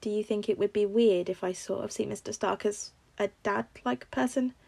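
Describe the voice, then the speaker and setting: A woman's voice: mid-range voice, steady tone, moderate pace, creaky, a little mellow. Woman reading as shy, awkward teenage boy. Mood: subdued. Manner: hesitant, defensive.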